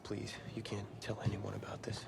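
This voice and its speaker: A proud gravelly young quarterback. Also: male voice, lowish voice, completely flat delivery, moderate pace. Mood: defensive. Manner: defensive and intense. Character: proud young male quarterback hiding a heart condition. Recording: game audio with background noise.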